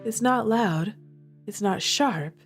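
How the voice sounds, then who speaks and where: A woman's voice: medium pitch, slow pace, faint music behind. A woman streaming a visual novel, chatting to viewers and reading the game text aloud with dramatic feeling.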